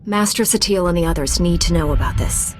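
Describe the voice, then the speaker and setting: A woman playing a calm, protective Jedi master in a video game, direct and authoritative. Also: lowish voice, fairly quick, soft background music, natural voice. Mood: supportive. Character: composed, protective female Jedi master, firm and loyal. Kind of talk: scripted video game dramatic acting.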